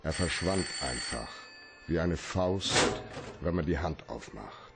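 An actor in a German radio play as a cool, cynical, shrewd private detective with a laconic, deadpan way of talking. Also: male voice, extremely low pitch, slow, vocal fry, very noisy, full character voice. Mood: matter-of-fact.

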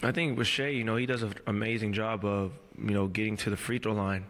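Low, flat-voiced man in a press room. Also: big-room sound. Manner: measured, halting, many fillers and restarts. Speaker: pro basketball player at postgame press conference. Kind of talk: postgame press conference answers. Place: press room before a team backdrop. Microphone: table mic on a stand.

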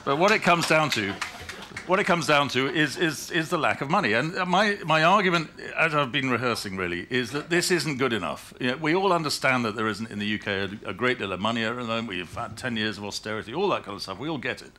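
A man's voice: mid-range voice, expressive, quick pace, pushed and bright. A middle-aged British man speaking on a conference panel, rambling and restarting his sentences with laughing asides. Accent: British English. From United Kingdom. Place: conference hall stage.

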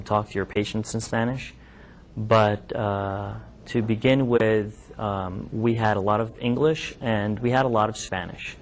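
A man's voice: on the low side, slight background noise. Hesitant young male doctor in a classroom. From New York. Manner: conversational, hesitant, with many ums and restarts. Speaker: physician speaking to medical students. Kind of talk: informal talk with questions from the audience. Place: classroom lab, indoors.

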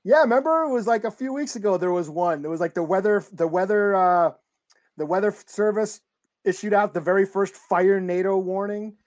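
A man co-hosting a podcast, speaking in a relaxed, halting way, recapping a show. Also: high voice. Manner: relaxed, halting, many fillers and restarts. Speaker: podcast co-host. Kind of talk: casual chat, recapping a show.

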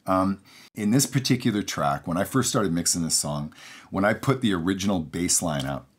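Husky, low-voiced man teaching casually. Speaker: music mixing engineer giving a tutorial. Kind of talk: casual instructional talk to camera. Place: home recording studio, indoors.

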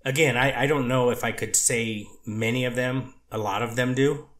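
A man's voice: medium pitch, steady tone, normal speed, fairly bright tone. A man with a mid-range voice gives testimony in an echoey courtroom. He answers carefully and measuredly, qualifying his statements and restarting to choose his words. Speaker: treatment clinician testifying as witness.

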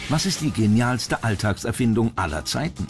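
Male narrator, standard German, mid-pitched scripted documentary read over music. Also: sing-song, moderate pace. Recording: music bed behind narration.

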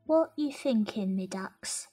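A woman's voice: medium pitch, expressive, slow, warm tone, breathy edge, soft background music. A woman playing a curious, playful young Victorian London woman who talks informally and teasingly in an amateur audio drama. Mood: eager, curious.

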